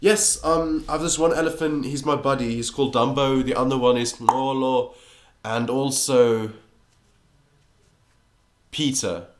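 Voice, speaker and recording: A man's voice: mid-range voice, steady tone, unhurried, pauses often. A teenage boy from South Africa with a mixed English and American accent, joking sarcastically and swearing casually as a vlogger, recorded on a phone taped to his monitor. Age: teens. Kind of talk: casual commentary. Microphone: phone taped to a computer monitor.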